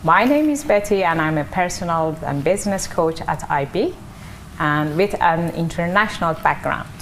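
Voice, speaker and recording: A woman's voice: fairly low pitch, animated, normal speed, slight background noise. Hesitant woman hosting a panel. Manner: hesitant, frequent fillers. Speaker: host and business coach. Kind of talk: moderating a panel. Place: online open day session.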